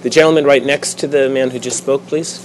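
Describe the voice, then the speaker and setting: A man's voice: medium pitch, fairly even intonation, a little fast, slight background noise, rough recording. A man moderating a panel discussion, polite and inviting, with fillers. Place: conference room.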